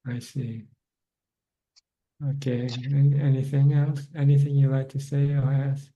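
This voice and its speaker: A man's voice: medium pitch, level tone, a little slow, warm tone. A man guiding a caller in meditation over a video call, speaking plainly with many restarts. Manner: plain, repetitive guidance with restarts. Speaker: meditation teacher answering questions. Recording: dull lo-fi call audio.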